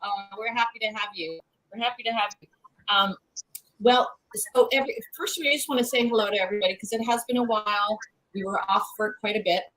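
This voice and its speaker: A woman's voice: medium pitch, fast talker, fairly bright tone, low-quality recording. A woman co-hosting a horror livestream, chatting casually with a guest and greeting the viewers.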